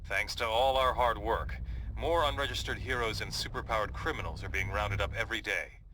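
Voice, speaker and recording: An actor playing a grave, controlled superhero team leader giving a mission briefing in a video game. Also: male voice, on the low side, brisk, sharp tone, slight background noise, low-quality recording. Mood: grave, resolute.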